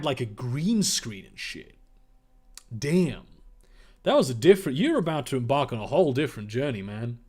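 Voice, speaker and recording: German man rambling through a stream Q&A. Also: mid-range voice. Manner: rambling, profane, reads questions aloud then answers. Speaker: streamer answering viewer questions. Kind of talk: casual livestream Q&A.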